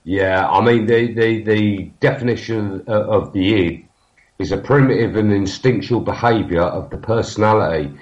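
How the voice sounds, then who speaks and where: A man, talking casually in a halting way with many fillers and restarts. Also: on the low side, darkish tone. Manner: halting, many fillers and restarts, loses his train of thought. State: has a cold. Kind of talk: casual podcast conversation. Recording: dull lo-fi sound.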